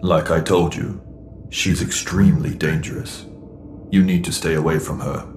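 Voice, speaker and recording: An actor voicing a grave, law-abiding alien with a protective streak, speaking in terse, measured lines in a sci-fi audio drama. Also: male voice, a bass voice, steady tone, a little mellow, faint music behind. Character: Throg, grave lawful alien Ferran, secretly protective.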